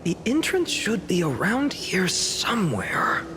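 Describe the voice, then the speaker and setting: An actor playing an earnest, boyish young hero in a video game, eager and expressive. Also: male voice, high voice, takes it slowly, slight background noise, heavily acted. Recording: background music under the voice.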